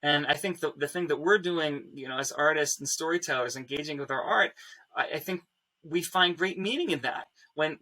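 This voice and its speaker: A man's voice: on the high side, pushed and bright, rough recording. A man speaking as a musician on a discussion panel, reflecting earnestly in a musing, thoughtful way.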